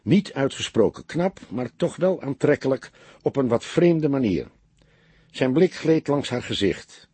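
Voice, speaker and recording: A man narrating an audiobook story from a script in a measured, expressive way. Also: medium pitch, slightly rough, dry recording.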